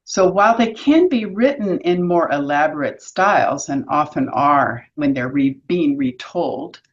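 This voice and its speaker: A woman's voice: low-pitched, lo-fi sound. A woman presenting an educational explainer in an articulate, instructive way with a lightly wry touch. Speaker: presenter of an educational video series, author and workshop leader. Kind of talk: semi-scripted educational explainer.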